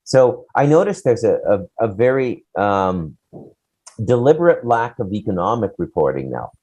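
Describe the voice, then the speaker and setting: A man's voice: on the low side, sing-song, moderate pace, darkish tone, husky. A man co-hosting a casual talk show, giving opinions in a rambling, blunt, profane way. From Canada.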